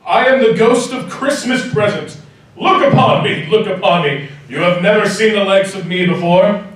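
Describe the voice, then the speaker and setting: An actor playing a commanding, jovial giant Christmas ghost in a live radio drama, with booming, declamatory delivery. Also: male voice, normal speed, a bit bright. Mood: grand, commanding. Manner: booming, declamatory stage projection. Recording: very echoey hall, distant mic.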